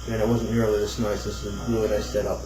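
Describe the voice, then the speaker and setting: A man giving a casual, unscripted hobbyist demo, rambling and thinking out loud as he goes. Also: lowish voice, flat delivery, quick pace. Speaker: hobbyist demonstrating a homemade build. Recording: roomy echo, clear background noise.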